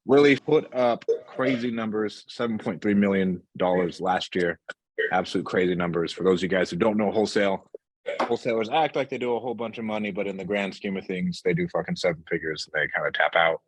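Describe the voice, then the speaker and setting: A man's voice: sits low, fairly even intonation, a little fast, rough recording. A man hosting a casual podcast interview, talking in slangy, bro-heavy language with some swearing. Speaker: podcast host interviewing entrepreneurs.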